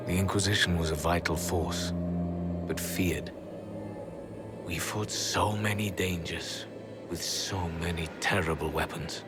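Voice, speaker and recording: Weary dignified old warrior guy from a game, really low voice, solemn confessions, loud music behind. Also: steady tone, unhurried. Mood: weary, grave. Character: regretful old male inquisitor and hunter, weary, dignified.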